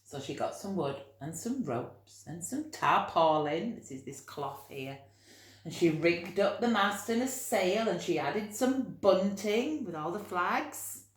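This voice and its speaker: A woman with a low voice tells a children's story as a teacher would. Her pace is unhurried and her intonation lively, with questions put directly to the listeners. The sound is roomy and echoey. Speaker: teacher reading a storybook to pupils. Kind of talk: animated children's storytelling with friendly asides.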